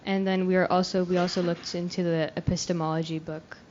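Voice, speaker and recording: Modest young woman with a lower voice. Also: little pitch movement, fairly quick. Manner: shy, modest, laughs softly. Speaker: youth retreat participant. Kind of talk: casual self-introduction. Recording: dull lo-fi recording with faint noise.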